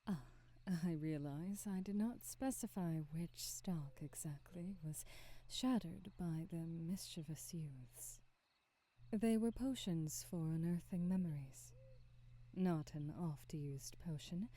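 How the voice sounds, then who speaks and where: Slow, very dark-voiced gentle witch played by a woman. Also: on the low side, no room sound. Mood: calm. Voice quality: soft, breathy, half-whispered. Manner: slow, gentle, formal old-fashioned phrasing. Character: kindly, wistful witch potion-seller, tender and gentle. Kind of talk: intimate ASMR roleplay, scripted monologue.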